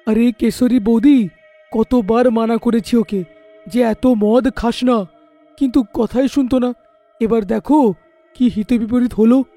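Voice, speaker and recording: A man playing a distraught village man in an animated horror story, speaking in a dramatic, lamenting way. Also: very high voice, measured pace, pauses often, soft background music, full character voice. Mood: grave, concerned.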